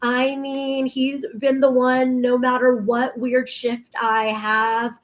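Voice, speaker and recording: A woman in her thirties, a talk show guest chatting casually, bubbly and quick to laugh, with many fillers. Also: high voice, level tone. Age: 30s. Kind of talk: casual chat.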